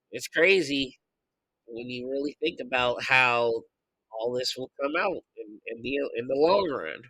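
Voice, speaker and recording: A man hosting a podcast, congested from a recent illness, venting his opinions in a loose, profane, animated way. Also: mid-range voice, no echo, poor audio. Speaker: podcast host. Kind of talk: casual opinion commentary.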